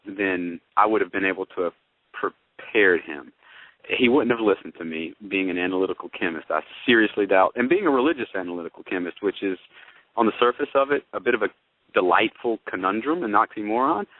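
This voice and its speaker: A man's voice: on the low side, expressive, a little fast, no echo. A man speaking as an astrologer in a conversational debate, with an emphatic, wordy manner and formal vocabulary. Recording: tinny, band-limited radio or phone-line audio.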